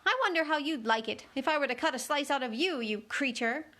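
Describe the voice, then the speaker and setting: A woman's voice: high-pitched, brisk, pushed and bright, voice pushed up. A woman voicing an indignant talking plum pudding with a thick, sooty voice, reading a storybook aloud.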